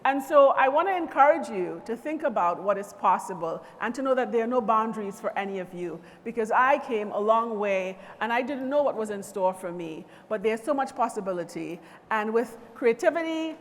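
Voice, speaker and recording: Encouraging woman speaking in a big echoing hall. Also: medium pitch. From Trinidad and Tobago. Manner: measured, encouraging, lists things slowly. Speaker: conference speaker from a power company. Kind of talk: motivational keynote speech. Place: large convention hall. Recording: long reverb of a large hall.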